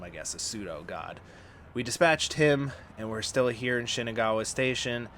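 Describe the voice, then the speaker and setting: Man, gaming host, casual let's-play chat, slightly hoarse, upbeat, lower voice. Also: fairly bright tone, a little hiss or hum. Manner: chatty and upbeat, with frequent fillers.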